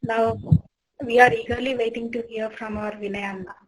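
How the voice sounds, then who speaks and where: A woman moderates the session, thanking speakers and calling up the next one. Her voice sits a touch high and stays fairly flat. She keeps it polite and brief. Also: a little fast, low-quality recording. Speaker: event moderator. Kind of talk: introducing speakers.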